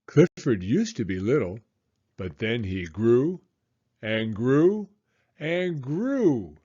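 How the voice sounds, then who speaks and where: Male storybook reader for children: expressive, animated delivery, soft mellow tone. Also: mid-range voice, slow pace, dull audio. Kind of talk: children's read-aloud narration.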